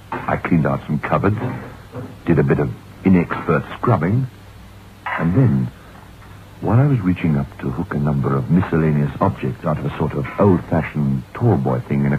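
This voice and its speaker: An actor playing a brooding, reflective novelist who tells his haunted tale in measured 1960s radio drama style, on a tinny, noisy old recording. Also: extremely low pitch.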